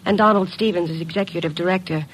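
Husky, worried young secretary. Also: female voice, lowish voice, steady tone, quick pace, low-quality recording. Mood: worried, hesitant. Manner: hesitant, polite, anxious. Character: worried, frightened young female secretary client, polite and hesitant. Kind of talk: 1950s radio drama acting.

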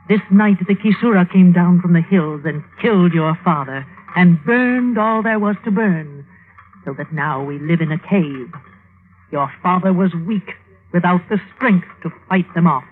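An actress playing a ruthless, power-hungry widowed mother in a solemn, commanding 1950s radio drama style. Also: fairly low pitch. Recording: noisy, phone-like old radio recording.

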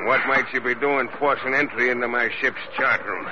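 An actor playing an old, stubborn, drunken sea captain, rambling and halting in salty seafaring talk, in 1940s radio drama style. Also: male voice, medium pitch, fairly even intonation, close to an everyday voice. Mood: stubborn, surly. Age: older. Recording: noisy, muffled old radio drama recording.

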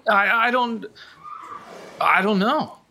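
An actor in an audio drama playing a shy young male guitarist who speaks in a halting, stammering, apologetic way. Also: high-pitched, pushed and bright, slight background noise, exaggerated voice, low-quality recording. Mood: hesitant. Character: shy, hesitant young male guitarist, quietly obsessed with music. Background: guitar music.

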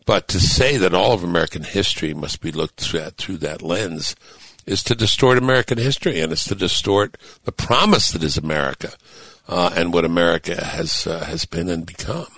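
An older man hosting a talk show, speaking thoughtfully with halting restarts that build to emphatic points. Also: low voice, a bit bright, no echo. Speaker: host and author. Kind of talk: casual radio talk show conversation.

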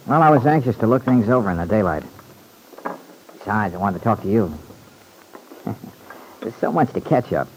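A man's voice: fairly low pitch, expressive, normal speed, pauses often, darkish tone, a little hiss or hum, poor audio. An actor playing an earnest, loyal young man home from war in an old-time radio serial. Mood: relieved, reflective. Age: young adult. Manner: earnest, colloquial, reflective.

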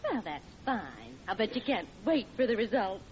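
A woman's voice: medium pitch, very animated, moderate pace, close to an everyday voice. Woman as a doting housewife, very singsong voice. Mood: affectionate, concerned. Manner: affectionate, solicitous. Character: sweet, doting housewife and mother. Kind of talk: 1940s radio comedy acting. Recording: muffled old radio recording with noise.

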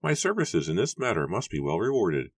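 A man voicing a greedy, corrupt schemer in an audiobook, speaking slowly and softly with calculated menace. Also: deep voice, sing-song, no room sound, studio quality, voice pushed up, full character voice. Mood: coolly scheming. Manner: slow, soft, calculating. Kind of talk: dramatic audiobook character voice.

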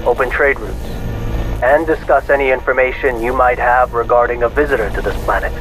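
A man playing a self-important corporate tycoon in an audio drama, polished, measured and pompous in his speech. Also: medium pitch, steady tone, music in the background, natural voice, poor audio. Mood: formal, inviting.

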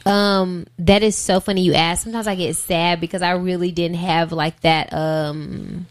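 American woman with a lowish voice, talking casually. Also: steady tone, moderate pace. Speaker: interview guest, singer and rapper. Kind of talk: casual conversational interview.

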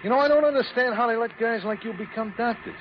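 An actor playing a cocky, mocking hired thug who bosses people around in an old-time radio drama. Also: male voice, very high voice, quick pace. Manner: mocking, wisecracking, bossy, chuckling. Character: cocky, sneering hired gunman, taunting thug. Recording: muffled, faintly noisy old radio recording.

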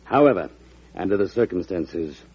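An actor playing a wealthy, shrewd and haughty banker in an old-time radio drama, measured and pompous. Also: male voice, low-pitched, lively intonation, a little mellow, slightly rough, heavily acted. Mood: grudging. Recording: muffled old radio recording.

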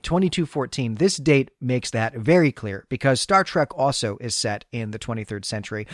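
A man with a slightly high voice, recorded close and dry, talks as a podcast co-host. He recaps fiction in an articulate, explanatory manner. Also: no room sound. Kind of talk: literary podcast recap with read-aloud passages.

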